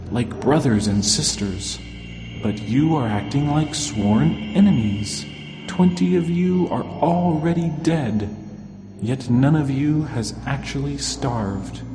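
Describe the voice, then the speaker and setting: Old village shaman, played by a man storytelling: solemn, stern, commanding, raised pitch, reverberant hall sound. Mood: stern, reproachful. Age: older. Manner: solemn, commanding, rhetorical questions. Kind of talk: oral storytelling of a traditional tale. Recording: long hall reverb, clear music underneath.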